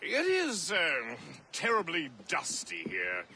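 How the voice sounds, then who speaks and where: An actor playing a jovial, flamboyant gentleman with a theatrical, drawn-out delivery for a video game cutscene. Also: on the high side, wildly swooping pitch, exaggerated voice. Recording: faint background noise.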